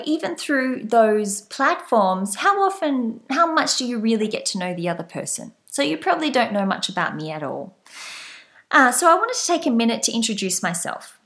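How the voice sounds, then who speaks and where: A woman's voice: fairly high pitch, very animated, moderate pace, a bit bright. A woman with a light Australian accent hosting her own podcast as a leadership coach, speaking straight to the listener in an earnest, motivational way. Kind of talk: solo podcast monologue.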